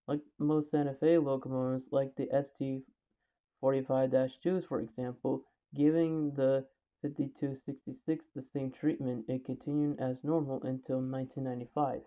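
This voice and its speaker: A man's voice: mid-range voice, little pitch movement, normal speed. A man reading scripted documentary narration, occasionally stumbling over the script. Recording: tinny, phone-like narrow band.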